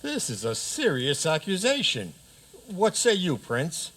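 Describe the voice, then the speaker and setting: A man playing a pompous, hurried frog king who presides as judge in a radio theater comedy, with regal, hesitant pronouncements. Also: a little high, huge pitch swings, fairly bright tone, heavily acted, poor audio. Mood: judicial, sly.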